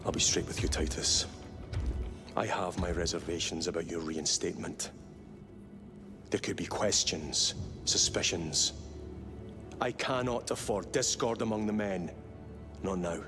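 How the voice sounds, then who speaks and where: A stern, blunt captain of futuristic soldiers with a lowish voice. He gives curt, commanding game dialogue over a clear music score. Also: a little slow, long pauses. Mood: stern, guarded. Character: stern, blunt male space marine captain. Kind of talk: cinematic video game dialogue.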